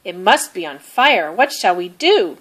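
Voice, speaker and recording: A woman voicing an excitable young farm girl in a storybook, urgent and exclamatory. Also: medium pitch, measured pace. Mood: alarmed.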